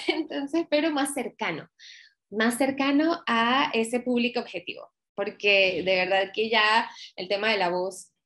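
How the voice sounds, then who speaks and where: A young woman from Venezuela with a Venezuelan accent, a voice actress chatting as a guest over a video call, lively and laughing often. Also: a little high, unhurried. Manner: lively, laughs often, acts out quoted lines. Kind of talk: casual interview chat. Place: home room, video call.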